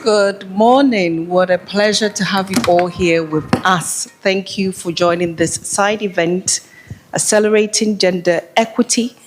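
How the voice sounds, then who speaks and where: A woman acting as master of ceremonies, giving a formal welcome and practical announcements at a live event. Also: on the low side. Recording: clear background noise, live event room.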